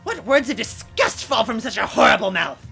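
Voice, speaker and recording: A man's voice: very high-pitched, very animated, moderate pace, creaky, pushed and bright, soft background music, pitched above the performer's own voice, exaggerated voice. A man voicing a small, pompous male bean villain who speaks in grandiose, broken phrases. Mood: indignant.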